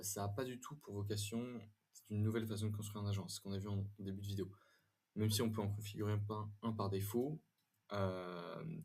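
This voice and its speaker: Man, low pitch, rough-edged husky tone, informal tutorial narration, hesitant phrasing, frequent self-corrections. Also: darkish tone. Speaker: software tutorial presenter. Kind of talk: informal screen-share software demo and review.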